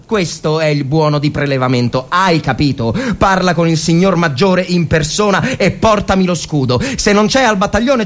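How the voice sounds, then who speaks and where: A man's voice: high voice, pitched above the performer's own voice. A man playing a desperate army officer in the trenches, urgently barking orders in a dramatic reading.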